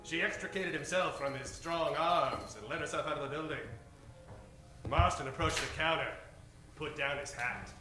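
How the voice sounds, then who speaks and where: A man's voice: on the high side, normal speed. A man reading scripted narration for a noir radio comedy. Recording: echoey, distant live stage recording. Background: faint music.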